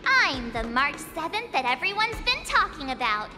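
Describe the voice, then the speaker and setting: A woman playing a cheerful, girlish novice swordswoman in an anime-style video game, perky and expressive. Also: sits high, moderate pace. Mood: perky, confident. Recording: long reverb, music behind. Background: music.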